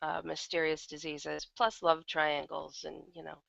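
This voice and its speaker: A woman's voice: sits low, monotone, a little slow, creaky, fairly bright tone, no room sound, muffled recording. A woman chatting casually as an interview guest, talking as an audio drama writer and producer.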